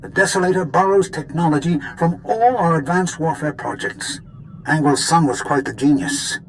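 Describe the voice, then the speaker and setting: Man acting composed, higher-voiced formal advisor. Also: moderate pace, slight background noise, lightly acted, poor audio. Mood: analytical. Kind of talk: video game cutscene acting.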